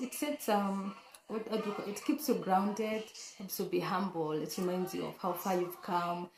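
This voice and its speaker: Female vlogger, hoarse, earnest and chatty, mid pitch, echoey room sound. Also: a little mellow, slight background noise. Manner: chatty, earnest, many fillers and restarts. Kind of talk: casual talk to camera.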